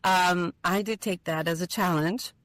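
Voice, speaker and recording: A woman's voice: lowish voice, measured pace, a bit bright, dry recording. A woman from Albania, a university lecturer, talking earnestly in an interview, with small hesitations and fillers. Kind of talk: casual interview conversation.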